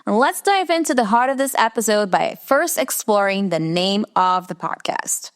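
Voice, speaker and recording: A Brazilian woman whose first language is Portuguese, speaking English with an Americanized accent as she hosts a solo podcast from her room in an earnest, deliberate, reflective way. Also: medium pitch, lively intonation. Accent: Americanized English with Brazilian Portuguese roots. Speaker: podcast host. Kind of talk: scripted solo podcast monologue.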